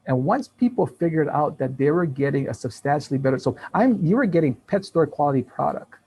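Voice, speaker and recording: A man of fifty, raised in Taiwan and Hawaii with Taiwanese as his first language, telling business stories as a guest in a casual interview with lively restarts and laughs. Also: fairly high pitch, brisk, slight background noise, poor audio. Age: 50s. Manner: animated storytelling with frequent restarts and laughs.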